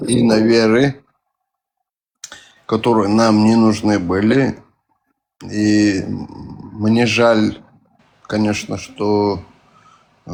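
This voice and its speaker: A man's voice: fairly low pitch, creaky, a little mellow. A man with a Caucasian accent, calling in to a live voice chat, talking casually and rambling with many hesitations and restarts. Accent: Caucasian-accented Russian. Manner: rambling, hesitant, fillers and self-corrections. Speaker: caller on a live stream.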